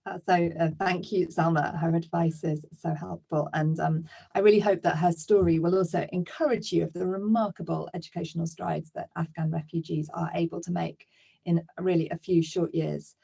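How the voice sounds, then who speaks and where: Low mellow woman presenting a webinar. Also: normal speed, gravelly, breathy edge. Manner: steady explaining with fillers.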